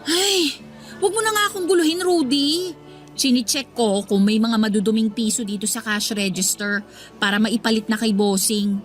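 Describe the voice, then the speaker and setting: A woman's voice: fairly high pitch, steady tone, moderate pace, faint music behind, lightly acted. A woman playing a defensive, evasive young supermarket cashier with a Filipino accent in a radio drama. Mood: snappish. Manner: evasive, snippy, sarcastic. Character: defensive young female supermarket cashier avoiding her crush.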